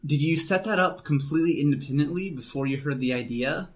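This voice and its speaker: A man hosting a casual tech interview, relaxed and conversational, often saying "like". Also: fairly high pitch, a little fast. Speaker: podcast host.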